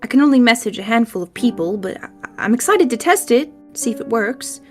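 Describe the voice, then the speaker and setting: A woman voicing a timid, polite young tiefling man in a tabletop game, halting and eager as the words come out. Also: a little high, expressive, soft background music, pitched above the performer's own voice, natural voice. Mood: nervous, eager.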